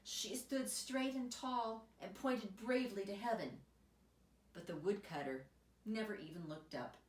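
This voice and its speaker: A woman reading a children's picture book aloud as an expressive storyteller, recorded in a roomy space away from the microphone. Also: mid-range voice, measured pace. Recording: roomy echo, distant microphone.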